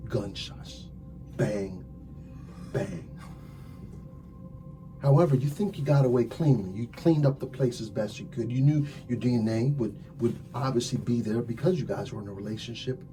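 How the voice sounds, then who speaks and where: Man acting as a smug theatrical detective, mellow voice. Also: medium pitch, faint music behind, natural voice, low-quality recording. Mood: hushed, dramatic. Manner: dramatic storytelling with drawn-out, hushed phrases. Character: smug theatrical male police detective interrogating a suspect. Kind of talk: ASMR crime roleplay.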